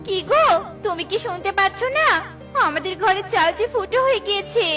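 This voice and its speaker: A woman's voice: very high voice, expressive, brisk, music in the background. A woman voicing female bird characters in a children's cartoon, exclaiming and complaining theatrically. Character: female cartoon birds, scolding crow wife and small bird neighbours.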